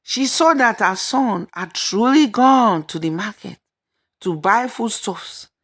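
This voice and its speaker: Female storyteller for folktale narration, reading at a markedly slow, measured pace. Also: medium pitch, animated, a bit bright.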